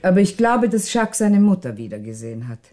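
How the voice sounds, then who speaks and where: A woman's voice: mid-range voice, very animated, fast, mellow, natural voice. An actress playing an anxious, talkative widowed landlady in a 1960s German radio crime drama, exclaiming dramatically. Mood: confiding.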